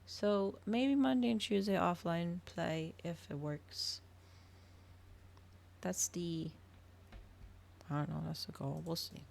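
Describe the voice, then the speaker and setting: A woman's voice: fairly low pitch, slow, vocal fry, pauses often, a little mellow. A woman livestreamer chatting casually to her viewers, rambling, trailing off and thinking aloud. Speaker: livestreamer hosting an ASMR and gaming channel.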